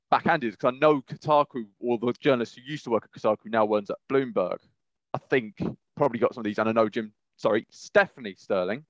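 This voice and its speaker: A man co-hosting a podcast, casually discussing news in a halting, rambling way and often rephrasing mid-sentence. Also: mid-range voice, no echo, lo-fi sound. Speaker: podcast co-host.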